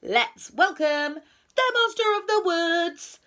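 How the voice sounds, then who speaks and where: A woman's voice: high-pitched, sing-song, unhurried, pitched above the performer's own voice. A woman voicing a kindly, anxious male owl who leads his friends in a children's story, emphatic and reassuring.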